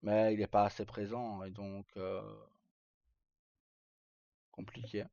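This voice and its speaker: A man's voice: fairly low pitch, flat delivery, takes it slowly, dark tone, no echo. A middle-aged man talks casually on a livestream in an informal, rambling and hesitant way. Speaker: live streamer. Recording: dull, lo-fi sound.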